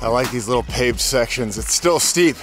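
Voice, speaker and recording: A man in his forties talks cheerfully to an action camera while out on a mountain dirt road, keeping it chatty and upbeat. His voice sits at an ordinary middle pitch. Faint music plays softly underneath. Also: lively intonation, normal speed. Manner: chatty and upbeat, talks to animals, playful sing-song bits. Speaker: bikepacking vlogger. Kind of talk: casual vlog narration to camera. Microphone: action camera on the bike and held at arm's length.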